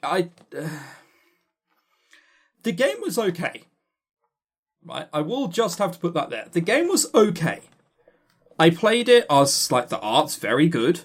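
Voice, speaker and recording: A man's voice: fairly high pitch, big pitch swings, unhurried, long pauses, breathy edge. A man co-hosting a podcast, giving casual, opinionated game reviews in a blunt, sweary, scornful way with stammered emphasis. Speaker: podcast co-host.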